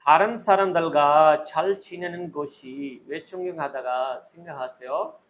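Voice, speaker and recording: Slow-paced man giving interview drills. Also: on the high side, a little hiss or hum. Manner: instructional, short commands and set questions. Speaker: Korean exam interviewer and teacher. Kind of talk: question-and-command interview drill.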